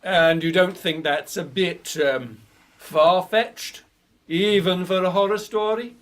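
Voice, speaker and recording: Man acting a sceptical, slow-talking literary gentleman. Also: high-pitched. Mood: sceptical, indulgent. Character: sceptical, indulgent literary gentleman, Mary's husband. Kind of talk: theatrical period radio drama dialogue. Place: theatre stage, live performance.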